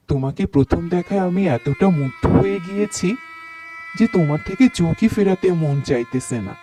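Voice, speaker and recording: A man plays a humble young husband in a cartoon. His voice is high for a man and mellow, with a tender, earnest, adoring manner. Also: natural voice. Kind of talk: Bengali cartoon story dialogue.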